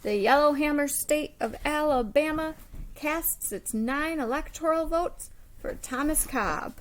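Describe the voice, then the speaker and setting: A woman voicing earnest schoolchildren in a storybook who formally and proudly announce votes in a drawn-out, declamatory way. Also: high-pitched, voice pushed up.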